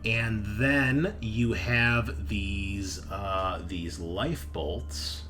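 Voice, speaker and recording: A man running a tabletop game, narrating casually and informally, often halting as he thinks aloud. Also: lowish voice, pushed and bright, soft background music. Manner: halting, thinking aloud as map details are checked. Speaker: game master.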